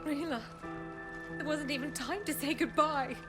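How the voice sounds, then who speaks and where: A woman performing as a devoted young sister in a video game drama. Her voice sits fairly high and is full of earnest feeling, with loud music behind it. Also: moderate pace, lightly acted. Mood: grieving. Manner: earnest, emotional.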